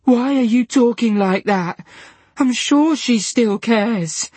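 A fragile woman patient pleads and sobs in a thin, high, wavering voice, as a male audiobook narrator puts her on. The voice sounds far off, and it cracks with tears. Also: slow, a little mellow, dry recording. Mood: sobbing.

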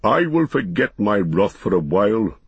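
A man acting out a proud, gruff dwarf warrior. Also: lowish voice, sing-song, no room sound, dull audio, exaggerated voice. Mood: stern challenge. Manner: formal, archaic, deliberate.

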